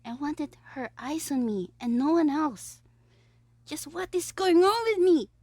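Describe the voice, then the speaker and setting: A woman's voice: high voice, expressive, measured pace, dry recording. A woman playing a mischievous, lovestruck young villain in an audio roleplay, scheming theatrically in a monologue. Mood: flustered, possessive. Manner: gleeful, swinging between exclamations and hushed asides.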